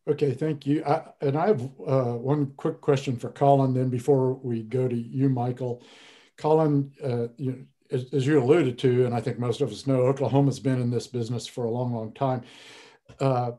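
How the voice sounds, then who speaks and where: An older man moderating a video-call panel discussion, asking measured, probing questions from a home study. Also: mid-range voice, steady tone, dark tone.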